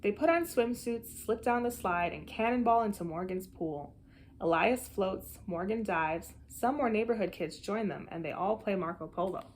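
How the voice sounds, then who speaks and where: A woman reads a children's picture book aloud with an animated, deliberate storytelling delivery. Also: medium pitch, slight background noise. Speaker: storybook reader.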